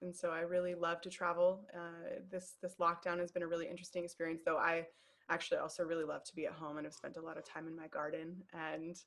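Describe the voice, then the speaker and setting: A woman in her late thirties with an American Pacific Northwest accent, talking as an interview guest in a warm, reflective way. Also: on the low side, completely flat delivery, fast. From United States, Seattle. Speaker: guest, emotional intelligence coach. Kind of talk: conversational interview.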